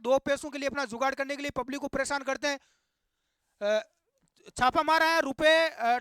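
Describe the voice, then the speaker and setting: A man's voice: very high voice, bright, forceful tone, no room sound, studio quality. A man giving impassioned, indignant commentary and urging his viewers on in an exhortative, repetitive way. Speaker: YouTube host.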